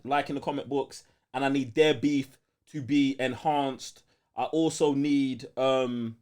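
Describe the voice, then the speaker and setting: London guy doing podcast banter, bit higher pitched, lots of slang. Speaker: podcast co-host reading out super chats. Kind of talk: casual live podcast banter.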